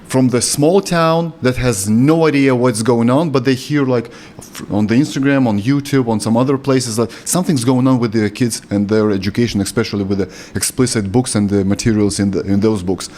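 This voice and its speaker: A man's voice: mid-range voice, darkish tone. Lithuanian-accented man hosting an interview. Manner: careful, slightly halting non-native English. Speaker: host.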